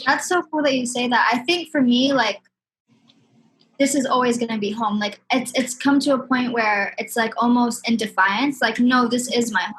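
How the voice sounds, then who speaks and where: Candid woman, slightly high voice. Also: normal speed, fairly bright tone, slight background noise, poor audio. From Sudan. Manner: candid, heartfelt, many "you know what I mean". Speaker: guest. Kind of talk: casual conversation.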